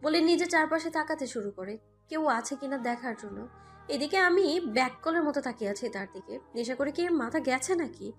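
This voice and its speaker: A woman's voice: high-pitched, lively intonation, normal speed. A woman playing a hurt, defiant young mother who narrates her own romantic audio story, with an emotional, scolding manner. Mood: exasperated narration. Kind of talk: first-person Bengali audio story narration and dialogue. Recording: music bed behind the voice.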